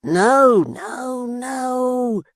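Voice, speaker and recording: A woman's voice: high voice, animated, very slow, no room sound, studio quality, pitched above the performer's own voice, heavily acted. A woman voicing a vain young male apprentice, urgent and coaxing.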